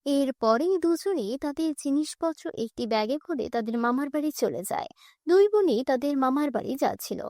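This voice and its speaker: A woman's voice: high voice, lively intonation, normal speed, dark tone, dry recording, studio quality. A woman reading scripted children's story narration as a storyteller.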